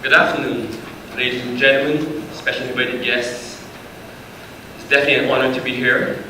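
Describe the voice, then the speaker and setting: A man from Barbados gives a conference presentation in a friendly, conversational way, with a touch of self-deprecation. His voice comes from well back from the mic. It booms around a big echoey hall, with a clear wash of noise underneath. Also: level tone, normal speed, recorded from a distance. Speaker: guest speaker.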